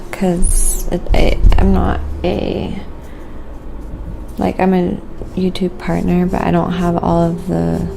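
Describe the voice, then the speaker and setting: A soft-spoken woman chatting casually and quietly as she narrates what she is doing in an ASMR livestream, in an unhurried way. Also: on the low side, monotone. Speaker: livestreaming craft painter and host. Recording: clear background noise, windy ambience. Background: wind howling.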